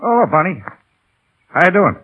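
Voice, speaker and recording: A loyal, slangy veteran aviator in forties radio acting, on a thin, band-limited old broadcast. His husky voice jumps about excitably, yet stays earnest and folksy. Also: high voice, unhurried, phone-quality sound, full character voice. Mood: wry. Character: veteran pilot, loyal, plain-spoken, excitable pal.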